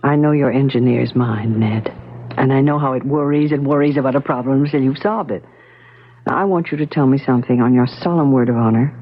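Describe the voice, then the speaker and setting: An actress playing an anxious, probing wife who recalls her past in a theatrical, deliberate old-time radio mystery style. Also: very deep voice, steady tone. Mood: earnest, insistent. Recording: dull vintage radio recording with music.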